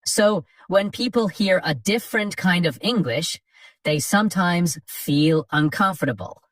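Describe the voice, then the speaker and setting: A woman narrates an English lesson for beginners in short, simple sentences, taking her time with each one. Her voice sits a little low but moves up and down with lively emphasis. She sounds set back from the mic in a dry space with no echo. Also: a little slow, distant mic. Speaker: English teacher. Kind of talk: slow scripted lesson narration for learners.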